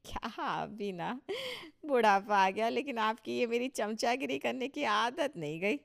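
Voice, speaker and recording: A woman's voice: on the high side, lively intonation, measured pace, gravelly. A woman playing a proud, touchy older mother-in-law in a Hindi cartoon story, emphatic and boastful with a scolding edge. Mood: proud, bossy.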